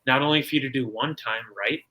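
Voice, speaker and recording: American man, bright punchy voice, interview guest, casual, emphatic, colloquial. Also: mid-range voice, fast, slightly rough, poor audio. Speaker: guest author. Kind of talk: casual conversational explaining.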